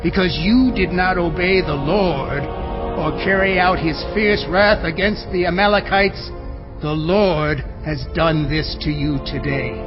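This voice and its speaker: A man playing the ghost of a stern, reproachful old prophet in an audio Bible drama, pronouncing judgment slowly and solemnly. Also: a little high. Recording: muffled dramatized recording under clear music.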